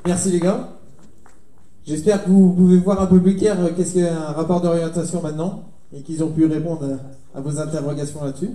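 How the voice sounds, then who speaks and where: A man hosting a farmers' assembly, briskly announcing the next part of the evening. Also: fairly high pitch, fairly even intonation, warm tone, reverberant. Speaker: event host.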